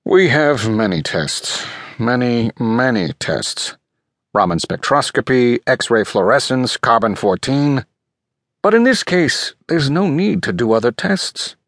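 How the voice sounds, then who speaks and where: A portly old manuscript expert for an audiobook. He is pompous and courteous, with a breathless wheeze and rolled Rs. His pitch swoops theatrically, and the recording is close and dry. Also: lively intonation, takes it slowly, fairly bright tone, no room sound. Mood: lecturing. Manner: pompous, emphatic, rolls his R. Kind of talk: audiobook character voice.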